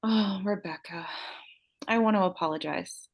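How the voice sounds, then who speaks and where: A woman's voice: medium pitch, normal speed, creaky. A woman playing a young beauty queen, an eager helper with hidden menace, in a screenplay table read. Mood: calm, sly.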